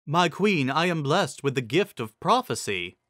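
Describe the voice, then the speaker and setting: A man's voice: on the high side, very animated, moderate pace, a bit bright, dry recording, studio quality, voice pushed up. A man voicing a humble female zebra seer in a fantasy story, speaking deferentially in formal rhyme. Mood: apologetic. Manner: deferential, formal rhyming speech.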